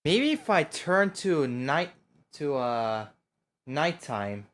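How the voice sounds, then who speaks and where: A streamer giving casual livestream game commentary in a slangy, excitable way. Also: mid-range voice, extremely slow.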